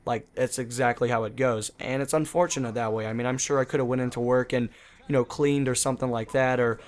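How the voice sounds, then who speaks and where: A man's voice: mid-range voice, steady tone, quick pace. A young man who hosts a gaming channel and talks casually over gameplay. He rambles in a chatty way, full of fillers.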